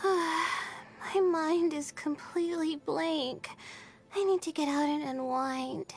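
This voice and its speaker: A very high-pitched, gentle student girl from a video game. She talks very slowly, sleepy and a little anxious, with music playing behind her. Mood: weary, gentle. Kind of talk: video game character voice lines.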